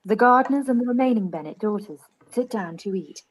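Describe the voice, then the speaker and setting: A woman's voice: fairly high pitch, expressive, a little fast, low-quality recording. A woman reading scripted stage narration for a live-read radio play.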